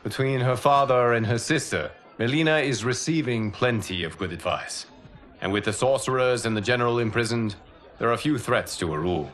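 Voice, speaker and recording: Man playing a calm, noble guardian god. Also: mid-range voice, faint music behind, close to an everyday voice. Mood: calm, gracious. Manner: formal, measured phrasing. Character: calm, noble male guardian deity and mentor, gracious and grave. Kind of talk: cinematic game cutscene acting.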